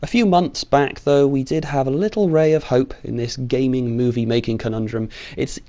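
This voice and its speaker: A man's voice: medium pitch, normal speed, mellow. British man giving sardonic video commentary. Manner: informal, opinionated, sardonic, with mild swearing. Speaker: video host. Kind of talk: scripted opinion commentary on video game films.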